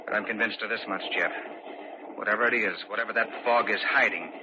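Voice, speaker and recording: An actor playing a grave, worried man full of foreboding, with ominous, deliberate phrasing, in an old-time radio drama. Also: lowish voice, natural voice. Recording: dull, noisy old radio recording.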